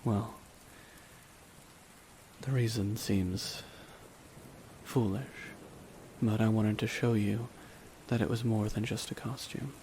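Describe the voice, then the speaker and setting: A man playing a brooding, remorseless villain in a dramatic ASMR roleplay, speaking in a hushed, deliberate way with long pauses. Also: fairly low pitch. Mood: solemn, reflective. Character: brooding, remorseless male villain at his dying nemesis's side. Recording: steady rain noise behind the voice. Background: rain falling on a surface.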